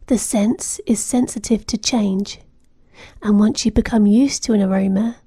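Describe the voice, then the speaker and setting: A woman narrating a guided meditation in a soothing, gentle manner, giving measured instructions with soft phrase endings. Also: medium pitch, steady tone, husky, dry recording.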